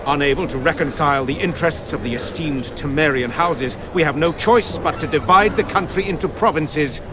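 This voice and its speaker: A man playing a proud, plain-spoken military constable addressing a royal council in formal, measured speech in a fantasy game. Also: on the high side, noisy background, close to an everyday voice. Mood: grave, formal. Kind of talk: formal dramatic game acting.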